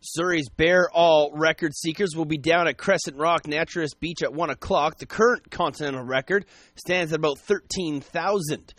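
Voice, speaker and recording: A man reading the news on the radio in a brisk, even newscaster delivery from a studio. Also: on the high side, no room sound. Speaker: radio newsreader.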